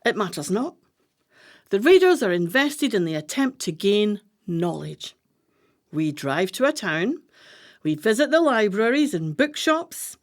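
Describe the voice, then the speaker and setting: Woman acting bossy scheming woman in a radio play, speaks real slow and declamatory. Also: medium pitch. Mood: rousing, determined. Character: brisk, commanding woman plotting political pamphlet campaigns.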